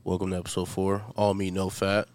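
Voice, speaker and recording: Sick dude in his twenties hosting a pod, low clean voice, joking around, slangy. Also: monotone, fairly quick, darkish tone, no room sound. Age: 20s. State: sick, congested. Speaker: podcast host. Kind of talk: casual chat.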